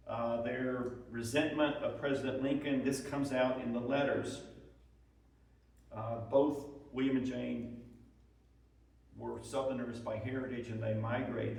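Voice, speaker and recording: A man presenting history in a public lecture, explaining in a measured, hesitant way. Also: mid-range voice. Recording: echoey hall, distant microphone.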